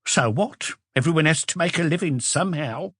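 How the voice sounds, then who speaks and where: A man's voice: a little high, animated, normal speed, a bit bright, dry recording, voice pushed up. A man voicing an indignant, stubborn old woman in her sixties who denies everything, read in an audiobook. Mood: indignant, defensive. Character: defensive old woman, retired fortune teller in her sixties. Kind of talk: audiobook character voice.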